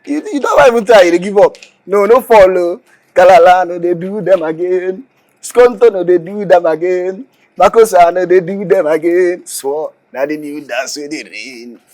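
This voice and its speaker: An actor playing an eager, devoted young house helper in a film drama, with a Nigerian accent and emphatic, repetitive delivery. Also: male voice, high-pitched, normal speed. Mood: playful, excited.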